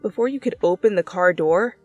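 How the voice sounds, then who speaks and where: A woman's voice: on the low side, a little fast, dry recording, lo-fi sound. A woman reading scripted second-person fiction as a narrator, close to the microphone. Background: soft background music.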